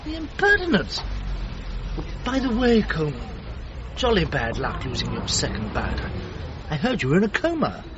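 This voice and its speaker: An actor playing a pompous, blustering upper-class English knight in a radio drama, plummy and condescending. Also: male voice, a little high, huge pitch swings, normal speed, long pauses. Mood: breezily condescending. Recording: muffled lo-fi radio recording, faint noise.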